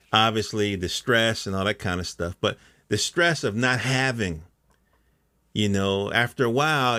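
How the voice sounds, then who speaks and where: A middle-aged American man speaks in a low-pitched voice with very little room sound around it. He talks like a casual podcast host, rambling along in colloquial language. He often checks that the listener is following him. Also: a bit bright, dry recording, studio quality. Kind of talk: casual conversational monologue.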